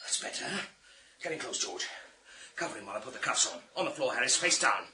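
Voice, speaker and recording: Male actor as commanding police inspector, radio drama, lively intonation, clicks and background noise. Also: mid-range voice, fast, natural voice. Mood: commanding, urgent. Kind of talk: radio thriller acting.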